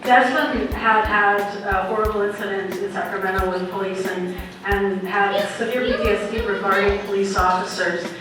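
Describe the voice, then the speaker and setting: A woman's voice: medium pitch, steady tone, moderate pace, music in the background. A woman making an unscripted public comment from the audience and questioning officials. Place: community center meeting room. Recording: echoey hall, distant mic, clear noise.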